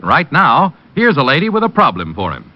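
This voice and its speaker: A man's voice: a little high, huge pitch swings, normal speed. A man with an American accent announcing a programme and its sponsor in mid-century radio style. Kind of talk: 1940s-50s radio announcing. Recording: dull vintage radio recording, music behind.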